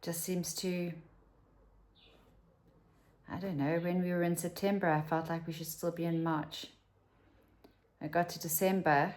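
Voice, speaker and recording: A South African woman in her fifties talking candidly to camera at home, rambling and dropping to a whisper for asides. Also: lowish voice. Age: 50s. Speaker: vlogger. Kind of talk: casual talk to camera.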